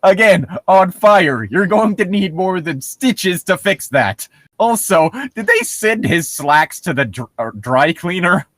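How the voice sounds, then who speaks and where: A man's voice: high voice, expressive, a little slow, a bit bright, no echo, clean studio sound. A man hosting a casual read-along, switching between reading a story and sarcastic, nitpicking, sometimes profane commentary. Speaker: host reading a story aloud with mocking commentary.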